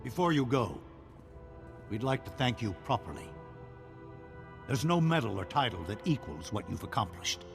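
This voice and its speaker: A man playing a formal, grateful military dignitary in a video game, with a gravelly voice. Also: mid-range voice, very animated, normal speed, pauses often. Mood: solemn gratitude. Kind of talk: video game cutscene acting. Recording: long reverb, music behind.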